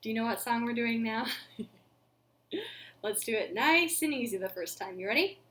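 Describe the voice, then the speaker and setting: A young woman hosting a children's storytime, speaking to little ones in an animated, deliberate storytelling manner. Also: fairly high pitch. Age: young adult. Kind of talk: children's storytelling and action songs. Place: living room at home.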